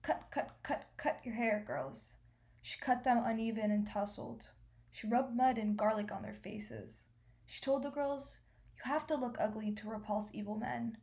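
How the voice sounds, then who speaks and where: A woman's voice: a little high, steady tone, a little slow, darkish tone, natural voice. An actress playing a stern woman who recounts family history as a storyteller in a radio play. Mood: earnest, insistent.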